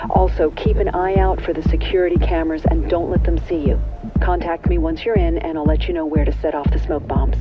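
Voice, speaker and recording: A woman playing a businesslike vampire accomplice in a video game, giving brisk instructions over a radio. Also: sits low, steady tone. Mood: focused. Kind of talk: video game mission briefing. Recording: muffled radio voice under loud electronic music.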